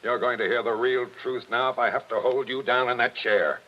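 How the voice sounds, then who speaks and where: An actor playing a blunt, impatient police captain in a 1940s radio mystery. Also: male voice, mid-range voice, steady tone, lightly acted. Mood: tough. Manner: blunt, pushy, brisk. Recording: dull old radio broadcast.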